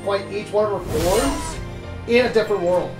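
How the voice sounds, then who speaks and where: A man's voice: high voice, animated, normal speed, roomy sound. A man hosting a let's play, explaining the game in a matter-of-fact, knowledgeable way. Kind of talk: casual game commentary. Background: game music.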